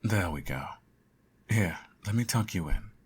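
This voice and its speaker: A man playing a teasing, doting supervillain in an intimate ASMR roleplay, suave and coaxing, with a gravelly, husky voice. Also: low-pitched, expressive, unhurried, a little hiss or hum. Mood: caring. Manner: suave, theatrical, coaxing, uses pet names. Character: teasing, doting male supervillain nursing his hero nemesis.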